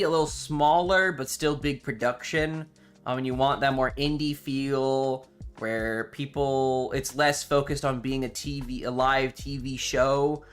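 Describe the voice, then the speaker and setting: A man's voice: mid-range voice, fairly bright tone. A man chatting casually on a livestream, rambling enthusiastically with some casual swearing. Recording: music playing behind the voice. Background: music.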